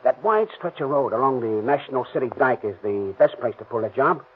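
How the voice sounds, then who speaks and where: A scratchy, noisy old radio recording of a man acting a ruthless American gangster. He is cocky and bossy, giving orders in slangy tough-guy talk at a middle pitch. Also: lively intonation, fairly quick, natural voice. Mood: cool, scheming. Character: ruthless, cocky gangster planning and bossing a robbery. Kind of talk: 1930s radio crime acting. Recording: scratchy, noisy, narrow-band 1930s radio.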